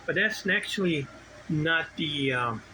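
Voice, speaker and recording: A middle-aged or older man giving a casual, unscripted video walkthrough as a hobby collector, rambling and halting as he restarts his sentences. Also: a little high, rough recording. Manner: rambling, halting, self-interrupting. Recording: clear steady background noise, ambient hum.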